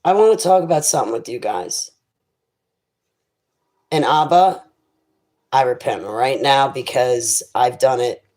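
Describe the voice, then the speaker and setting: A woman with a raspy voice hosting a casual livestream, rambling through her plans and her faith. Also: rumbling low, measured pace, pauses often, a little mellow. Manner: rambling, faith-filled musings. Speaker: internet radio host.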